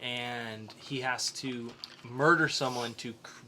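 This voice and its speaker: A man's voice: mid-range voice, steady tone, takes it slowly, pushed and bright, a little hiss or hum. A man hosting a casual podcast discussion about comic books. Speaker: podcast host.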